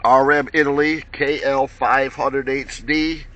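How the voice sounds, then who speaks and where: A man's voice: mid-range voice, fairly even intonation, takes it slowly, a bit bright. A man making CB radio contacts, repeating names and call signs in chatty operator patter. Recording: dull, muffled lo-fi sound.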